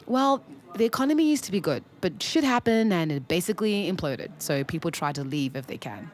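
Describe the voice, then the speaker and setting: A woman's voice: fairly low pitch, lively intonation, fairly quick, natural voice. A woman in her twenties from Zimbabwe playing a candid, smitten young woman in an audio drama, confiding and playful. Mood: chatty, open. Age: 20s. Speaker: lead actor and first-person narrator. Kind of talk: audio drama narration and dialogue.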